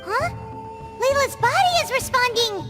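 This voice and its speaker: A woman's voice: very high-pitched, big pitch swings, measured pace, over music, heavily acted. An actress playing a small, cheerful, curious childlike fairy girl in a video game, chirpy and exclamatory. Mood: excited.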